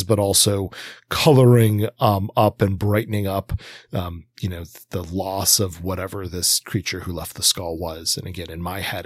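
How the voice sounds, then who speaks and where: A man hosting a podcast in a casual discussion, talking reflectively in rambling, halting sentences. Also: low voice, slightly rough, no room sound. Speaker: podcast host.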